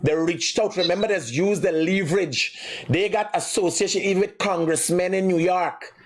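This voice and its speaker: A man's voice: sits high, normal speed. A man with a Guyanese accent hosting a live commentary show, speaking emphatically and repeating himself in an accusatory way. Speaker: live morning show host.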